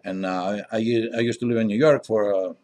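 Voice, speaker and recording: Deep-voiced Greek man, halting English. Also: fairly even intonation, darkish tone. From Greece. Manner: halting, non-native phrasing with frequent pauses. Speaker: interview guest, diner owner. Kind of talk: conversational interview.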